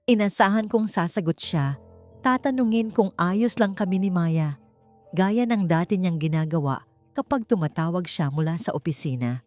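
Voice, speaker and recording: A woman with a slightly low voice narrates a dramatic first-person story. Her delivery is emotive and deliberate, and music plays behind her. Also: darkish tone. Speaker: audiobook narrator.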